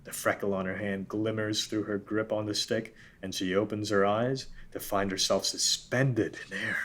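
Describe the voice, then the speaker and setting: A man's voice: lowish voice, steady tone, normal speed, fairly bright tone. A man narrating a script, reading out scene headings and stage directions. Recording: background room noise.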